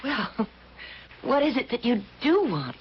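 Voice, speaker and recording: An actress playing an earnest, polite and anxious young job seeker in a radio drama, hesitant, apologetic and eager. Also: female voice, fairly high pitch, sing-song, a little slow. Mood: anxious, puzzled. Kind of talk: old-time radio drama acting. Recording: muffled, noisy old radio broadcast.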